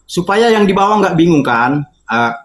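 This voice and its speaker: A man's voice: high-pitched, sing-song, normal speed, fairly bright tone, reverberant. A man in an informal religious debate, explaining his points and arguing through illustrations. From North Sumatra, Indonesia. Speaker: debate participant.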